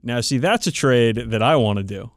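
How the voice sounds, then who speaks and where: A man's voice: mid-range voice, lively intonation, normal speed, no room sound, clean studio sound. A man co-hosting a podcast in casual hobby chat, informal and enthusiastic, swearing freely. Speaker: podcast co-host.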